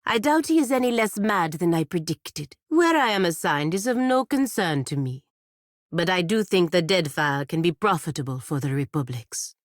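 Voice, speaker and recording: Stern, dutiful female knight for a fantasy game, played by an actress: deep register, measured formal delivery, dry studio sound. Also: no room sound, natural voice. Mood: matter-of-fact. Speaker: video game character voice actor. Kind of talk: scripted video game dialogue.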